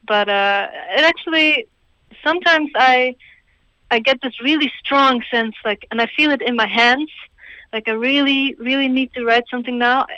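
A woman's voice: fairly high pitch, pushed and bright, dry recording, muffled recording. A woman from Croatia, a singer-songwriter answering interview questions in a hesitant, thoughtful way with small laughs. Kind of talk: radio interview guest.

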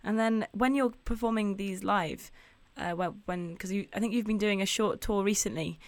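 A woman's voice: medium pitch, normal speed, dry recording. A woman interviewing a musician, chatty and friendly in her questions. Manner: chatty, laughs easily between questions. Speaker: radio interviewer.

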